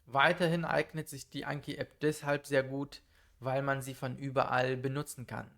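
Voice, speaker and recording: A man teaching German in a calm, methodical monologue, speaking slowly and clearly for learners. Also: mid-range voice. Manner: calm, methodical, lists numbered tips.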